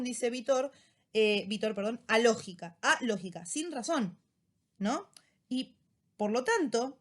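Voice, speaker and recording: An Argentine woman teacher talks through a reading in an easygoing explanatory way, tossing in casual slang. Her voice sits a little high, and she keeps checking in with quick tag questions as she goes. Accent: Argentine Spanish. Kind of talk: recorded lecture summary.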